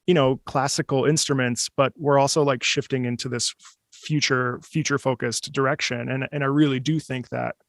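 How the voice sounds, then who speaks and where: Male host, mid-pitched with a gravelly creak, keen and rambling, casual conversation, close dry sound. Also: steady tone, moderate pace, no room sound. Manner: enthusiastic, rambling. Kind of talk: casual chat.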